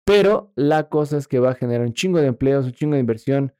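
A young man with a Mexican accent who jokes crudely and drops slang as he banters through political news. His voice is mellow and relaxed, recorded close and dry. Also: medium pitch, fast talker, clean tone, dry recording. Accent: Mexican Spanish. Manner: crude joking, laughs often, slangy. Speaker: podcast co-host. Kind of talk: casual comedic political chat.

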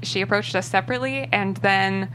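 A woman's voice: mid-range voice, brisk, a bit bright, a little hiss or hum, poor audio. A woman speaking casually as a writer guest in an interview. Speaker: screenwriter guest.

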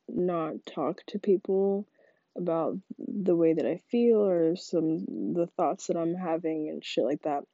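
Young woman podcaster, congested and stuffy, slow rambling delivery, dark mellow tone, dull muffled dry recording. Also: fairly low pitch, no echo. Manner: rambling, frequent "like" fillers. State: congested from a cold. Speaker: podcast host. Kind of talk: casual solo monologue.

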